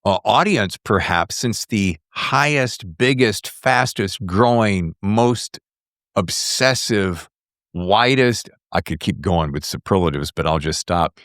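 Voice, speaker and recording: A man hosting a podcast, interviewing casually in an effusive, playful, expressive manner. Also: fairly low pitch, slow pace, no echo, studio quality. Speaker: podcast host interviewing an author.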